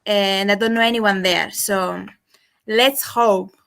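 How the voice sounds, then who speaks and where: A woman's voice: medium pitch, fairly even intonation, slow, vocal fry, a bit bright. A young woman from Cyprus whose first language is Greek, calling in and chatting in English with hesitant restarts and fillers. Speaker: caller. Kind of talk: casual call-in chat.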